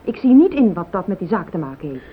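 An actress playing an anxious, fiercely loyal wife, formal and insistent, in a radio play. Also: female voice, sing-song, quick pace, breathy edge. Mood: indignant, insistent. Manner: formal, insistent, easily indignant. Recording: tinny old radio recording, hiss, rumble.

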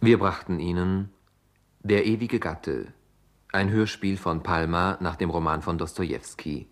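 A man's voice: sits low, unhurried. A man reading scripted credits formally as a radio announcer.

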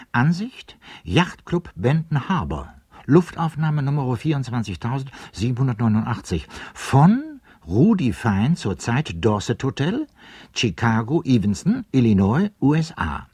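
An actor playing an officious clerk who announces addresses and message headers in a clipped, bureaucratic way in a radio play. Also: male voice, medium pitch, big pitch swings, measured pace, a little mellow, slightly rough, dry recording, clean studio sound.

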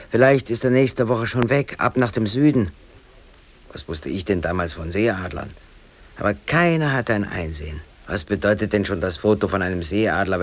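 An actor playing an aggrieved East German worker and amateur photographer in his late thirties, delivering a rambling, brooding radio drama monologue. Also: deep voice. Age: late 30s. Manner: brooding, rambling, swinging between muttering and outbursts.

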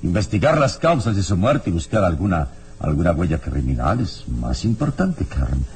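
An actor playing a calm, resolute hero in a radio drama, speaking in a measured, solemn, authoritative way. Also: male voice, deep voice. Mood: firm, authoritative. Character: calm, resolute male hero, a righteous defender of justice.

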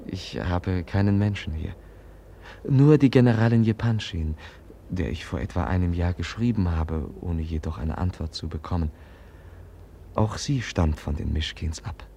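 An actor in a 1950s German radio play, playing a frail, candid young prince, polite and earnest, with hesitant pauses. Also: male voice, sits low, vocal fry, mellow. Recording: noisy 1950s radio recording.

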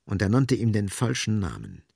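A man's voice: fairly low pitch, wildly swooping pitch, normal speed, creaky, a little mellow, breathy edge, dry recording, clean studio sound. A man reading literary scripted narration as the narrator of a radio drama.

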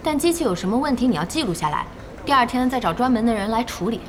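A woman playing a bossy, outspoken internet-cafe owner and passionate gaming fan in an audio drama, with a brisk manner. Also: on the high side, animated, noisy background, close to an everyday voice. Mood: brisk, businesslike. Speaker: voice actor in a radio drama. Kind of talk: Chinese audio drama acting.

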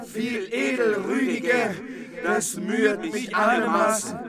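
A man recites medieval verse in a high, declamatory voice. He goes very slowly, with a loud crowd behind him. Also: fairly even intonation.

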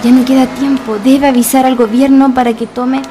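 An actress playing a secretive officer's wife in a historical radio drama, earnest, measured and solemn. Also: female voice, high-pitched, little pitch movement, mellow, natural voice. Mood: urgent.

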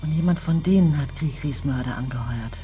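A determined woman sleuth played by an actress in a radio crime drama. She is resolute and matter-of-fact, in a dark, low voice with faint music behind it. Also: a little fast, lightly acted.

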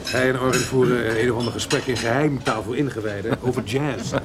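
A man's voice: mid-range voice, fairly even intonation, a little fast, background noise, lightly acted. A man playing a jovial, cultured critic in a radio drama, speaking in an effusive, urbane, slightly hesitant manner. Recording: busy bar chatter behind. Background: crowd murmur of a bar.

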